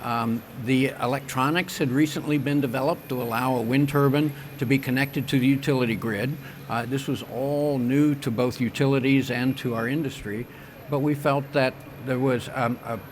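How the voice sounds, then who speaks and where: An older man, a company president, answering interview questions on a conference stage in a measured, engineer-like way with frequent ums and restarts. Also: medium pitch, a little hiss or hum. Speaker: wind turbine company president.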